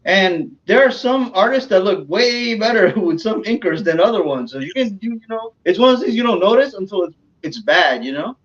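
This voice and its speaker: A man's voice: high voice, lively intonation, brisk, hardly any pauses. A man chatting casually and informally, correcting himself as he goes. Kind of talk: casual chat. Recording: dull lo-fi call audio.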